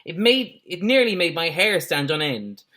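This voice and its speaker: Man playing an earnest schoolboy with animated pitch. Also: normal speed. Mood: agitated. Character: earnest, agitated schoolboy recounting a spooky mystery.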